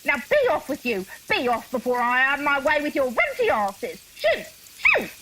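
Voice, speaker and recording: A woman's voice: sits high, huge pitch swings, moderate pace, sharp tone, heavily acted. A woman playing a feisty but kindly wife, in a radio drama. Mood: scolding. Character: feisty, kindly working-class London wife.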